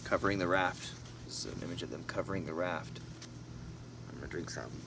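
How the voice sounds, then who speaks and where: Amateur male audiobook narrator: lowish voice, casual storybook delivery in broken phrases, noisy homemade recording. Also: fast. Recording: noisy, distant mic, vehicle-like background hum.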